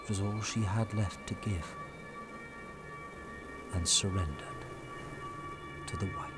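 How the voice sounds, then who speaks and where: Slow, low-voiced male dramatic storyteller. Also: steady tone, over loud music. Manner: suspenseful, short weighted phrases with pauses. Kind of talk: dramatic scripted narration. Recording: loud storm ambience behind narration.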